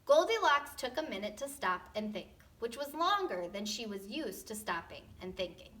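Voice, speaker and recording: Animated woman reading a children's story. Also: fairly high pitch, normal speed. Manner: expressive, playful, tongue-in-cheek. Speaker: teacher reading a storybook aloud.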